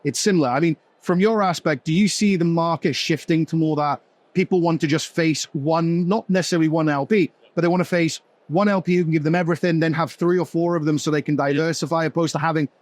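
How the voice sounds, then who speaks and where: A man leading a business panel, asking long questions in a rapid flow. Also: fairly high pitch, fairly even intonation, darkish tone, dry recording. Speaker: company executive leading the panel. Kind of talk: panel conversation.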